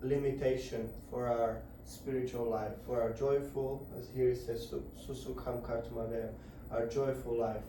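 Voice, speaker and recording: A man gives a devotional lecture in a very echoey room, his voice heard from well away from the microphone. His tone is flat and even, with a soft, dark timbre. He speaks haltingly, often restarting as he searches for words. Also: mid-range voice, far from the mic, slight background noise. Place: indoor gathering room.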